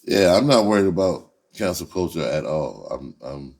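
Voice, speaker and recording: A man's voice: low-pitched, expressive, normal speed, gravelly, a little mellow. A man talking casually and candidly in a blunt, unfiltered, profane manner. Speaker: entertainer, rapper and writer.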